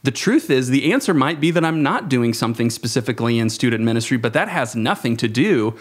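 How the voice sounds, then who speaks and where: A man in his thirties speaks in a reflective, conversational way at a middling pitch. His phrasing is halting and thoughtful, and he often corrects himself as he goes. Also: expressive. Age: 30s. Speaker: youth ministry worker. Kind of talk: reflective panel discussion.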